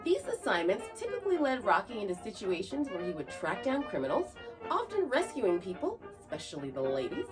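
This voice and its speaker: A woman's voice: mid-range voice, huge pitch swings, fairly quick. A woman hosting a podcast, reading scripted narration with chatty asides. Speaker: podcast host. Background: music.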